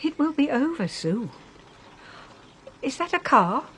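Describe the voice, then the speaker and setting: An actress playing a proud, wistful English widow in her sixties who is ailing, in a solemn, measured radio drama style. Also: high voice, big pitch swings, fairly bright tone, exaggerated voice. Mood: affectionate, earnest. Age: 60s.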